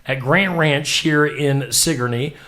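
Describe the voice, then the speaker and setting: A man hosting a small local morning show, reading news items and chatting with quick asides. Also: on the high side, a bit bright. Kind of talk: casual chat.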